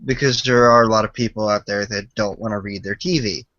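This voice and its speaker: A man's voice: lowish voice, steady tone, brisk, fairly bright tone, no echo. A young American man chatting on a podcast, hedging his points with lots of "like". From United States. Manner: hedging, full of "like". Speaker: podcast co-host. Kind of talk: casual chat. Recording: dry, dull lo-fi podcast audio.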